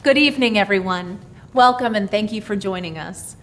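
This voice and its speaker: A woman storyteller reading a tale expressively, with dramatic, deliberate phrasing. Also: mid-range voice, a bit bright.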